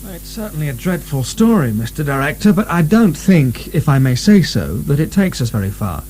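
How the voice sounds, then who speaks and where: A man's voice: on the high side, very animated, moderate pace. An actor playing a coolly rational asylum deputy in a radio drama, a man who doubts politely and reasons with deference. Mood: sceptical. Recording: soft recording with faint hum.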